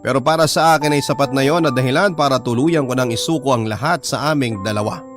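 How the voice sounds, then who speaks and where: Man, Filipino accent, mid-pitched, dramatic story narration, letter reading, prominent background music. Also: fast talker. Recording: music bed under the voice.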